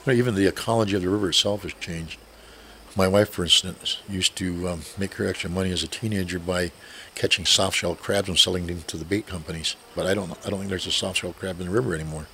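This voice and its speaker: An older man speaking slowly and reflectively in an interview, pausing with frequent fillers. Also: sits low, fairly even intonation, bright, forceful tone, a little hiss or hum. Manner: measured, hesitant with fillers. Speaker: interviewee, Seneca elder.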